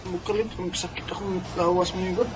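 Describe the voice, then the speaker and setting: A woman playing a sickly, uncomplaining young brother in a radio drama, feeble and halting. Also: on the low side, steady tone, a little hiss or hum, lightly acted. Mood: weak, pained.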